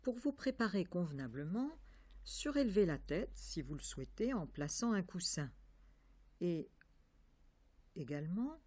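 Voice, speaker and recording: A woman leading a guided yoga nidra relaxation as an instructor, calm and measured, giving instructions in short, separate phrases. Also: lowish voice.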